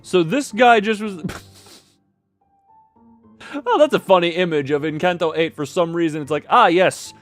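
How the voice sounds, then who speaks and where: A man streaming a game, giving casual, rambling live commentary with animated reactions. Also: sits high, moderate pace, pauses often, soft background music.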